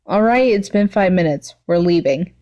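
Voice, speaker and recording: A woman's voice: on the low side, animated, normal speed, warm tone, muffled recording, natural voice. Woman playing an exasperated, curt villainess. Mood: grumbling. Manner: irritated, curt. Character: exasperated, grumbling female villain sidekick.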